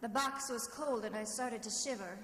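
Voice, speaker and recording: Reverberant old radio: woman as hard-boiled American female sleuth, high voice, wry and sure of herself, loud music behind. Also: moderate pace, slight background noise, close to an everyday voice. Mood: wry narration. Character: wisecracking, self-assured female private detective. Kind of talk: 1940s radio detective drama, first-person narration and dialogue.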